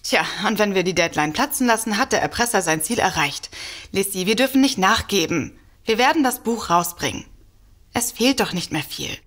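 A woman's voice: mid-range voice, expressive, moderate pace, fairly bright tone. A woman playing a defiant, wry novelist sister in an audio drama. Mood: reassuring. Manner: confident, wry. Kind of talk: German audio drama acting.

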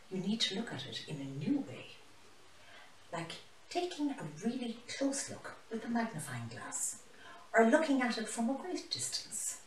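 A woman's voice: mid-range voice, a little hiss or hum, voice pushed up, close to an everyday voice. A woman voicing a friendly, delighted lioness in a storybook, emphatic and encouraging. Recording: roomy, mic some distance away.

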